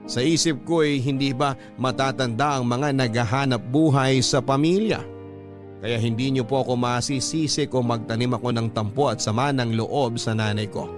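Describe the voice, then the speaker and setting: A man's voice: mid-range voice, steady tone, normal speed, over music. A man with a Filipino accent reading a first-person letter as a radio story narrator, in a dramatic storytelling style.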